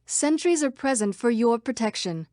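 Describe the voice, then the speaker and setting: Here is a young woman playing an earnest citizen program from a digital world in a video game. The voice is fairly high and very dry. Each line is crisp and dramatic. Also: lively intonation, moderate pace, darkish tone, no room sound, natural voice. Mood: calm, official.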